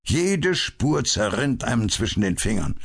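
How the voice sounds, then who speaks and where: A man's voice: medium pitch, sing-song, unhurried, creaky, breathy edge, pitched above the performer's own voice, exaggerated voice. A man reading an audiobook, voicing an indignant, bitter police inspector who barks his words. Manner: blustering and bitter. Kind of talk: audiobook character voice.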